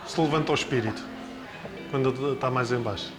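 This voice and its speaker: Portuguese male host, quick and teasing, slightly high voice, music behind. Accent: European Portuguese. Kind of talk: casual interview and voice-over narration.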